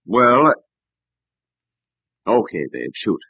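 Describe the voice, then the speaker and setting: An actor playing a loyal, anxious American sportswriter, earnestly narrating and acting in an old-time radio drama. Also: male voice, fairly low pitch, big pitch swings, very slow, gravelly, husky, no echo, exaggerated voice. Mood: alarmed. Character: loyal, worried male sportswriter friend, narrating. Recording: dull, dry old radio recording.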